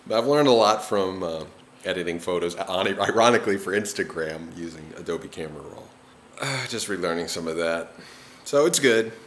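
A man in his thirties talking casually to a handheld camera at arm's length, relaxed and rambling. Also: low voice, moderate pace, slight background noise. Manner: relaxed, rambling, self-deprecating. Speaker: vlogger. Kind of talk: casual vlog talk to camera. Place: porch and living room of a house, then dark room.

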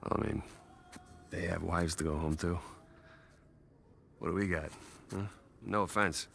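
An actor playing a weary, middle-aged American ex-convict in a video game, with a casual, wry, slangy delivery. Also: male voice, sits low, steady tone, measured pace, gravelly, fairly bright tone, a little hiss or hum, full character voice. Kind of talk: naturalistic cinematic game acting.

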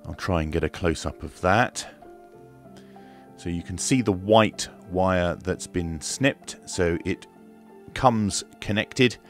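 Low-voiced, slow-talking man. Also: soft background music. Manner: unhurried, matter-of-fact, hesitant step-by-step explaining. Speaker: radio reviewer and demonstrator. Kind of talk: casual product demonstration. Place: indoor room at a desk.

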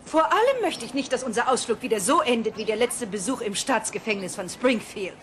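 A stern cartoon schoolteacher acted by a woman who scolds and commands. Her voice is high and very animated. The audio play recording carries clear background noise. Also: a little fast, natural voice. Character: stern exasperated female schoolteacher.

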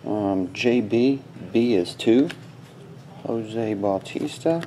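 A man's voice: lowish voice, very slow, dark tone, a little hiss or hum. A man hosting a card break with casual, terse commentary, muttering short fragments as he sorts through cards. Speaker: host of a sports card box break. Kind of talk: casual live commentary while opening card packs. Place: small indoor desk setup.

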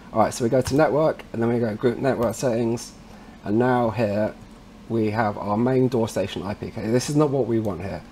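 Mellow man giving a casual tech tutorial. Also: fairly low pitch, slight background noise. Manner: informal, addresses viewers as guys. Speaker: tutorial presenter, installer trade. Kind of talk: casual step-by-step software tutorial.

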